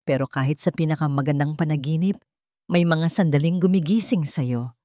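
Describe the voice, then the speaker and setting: Female story narrator, low pitch, scripted dramatic romance narration, dry recording. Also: normal speed, warm tone, dry recording.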